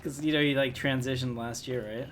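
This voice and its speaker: Mid-pitched man chatting over a game. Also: fairly even intonation, moderate pace, background noise. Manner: informal, joking, rambling. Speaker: game streamer. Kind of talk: casual live gameplay commentary. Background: video game music.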